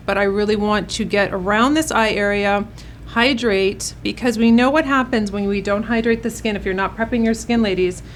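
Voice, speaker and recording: A woman's voice: mid-range voice, slight background noise. A woman hosting a makeup tutorial, speaking to her viewers in an upbeat, encouraging way. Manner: upbeat, encouraging pep-talk delivery. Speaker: host, makeup artist. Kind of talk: beauty tutorial vlog, addressing viewers.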